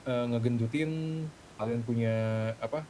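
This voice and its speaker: A man's voice: fairly even intonation, moderate pace, warm tone, poor audio. A man casually laying out his points step by step in an online game voice chat. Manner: explanatory, making points step by step. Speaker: player in a role-play gang meeting. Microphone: gaming voice chat microphone. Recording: rumbly background noise on game voice chat.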